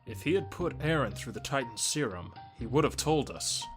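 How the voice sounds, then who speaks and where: A woman's voice: extremely low pitch, lively intonation, normal speed. A woman voicing a skeptical, level-headed researcher in a fan audio drama, speaking in a dry, questioning manner. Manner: dry, questioning, matter-of-fact. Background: music score.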